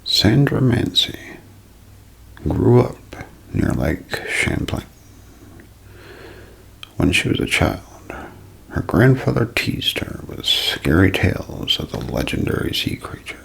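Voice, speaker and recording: Low breathy guy half whispering a mystery story asmr style, super crackly fry, short careful phrases. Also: big pitch swings, fairly bright tone. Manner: slow, deliberate, broken into short phrases. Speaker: ASMR narrator.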